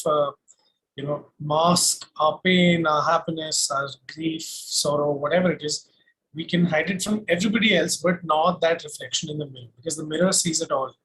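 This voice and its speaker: A man with an Indian accent giving a reflective interview as a guest, explaining things deliberately. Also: fairly high pitch, fairly bright tone, low-quality recording. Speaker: guest, singer-songwriter. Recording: faint background noise.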